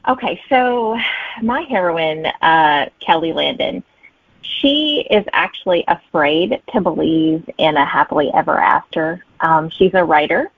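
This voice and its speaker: Husky woman on a thin phone-like connection. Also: sits low, expressive, a little slow, no room sound. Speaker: interview guest, author. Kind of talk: casual interview chat.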